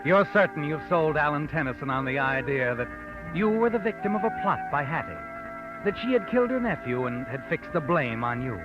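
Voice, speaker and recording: Sinister American storyteller, highish voice, knowing and taunting, old radio mystery, music underneath. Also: male voice, big pitch swings, a little fast. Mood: sly, knowing. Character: sinister omniscient male narrator addressing the culprit directly. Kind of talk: 1940s radio mystery narration. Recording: dull old radio recording, music behind.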